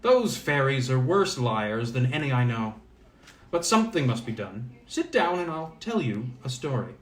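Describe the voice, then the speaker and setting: A man voicing a magical old wise woman from a storybook, brisk, cryptic and commanding. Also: mid-range voice, big pitch swings, close to an everyday voice. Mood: brisk, dismissive. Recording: roomy, echoing sound.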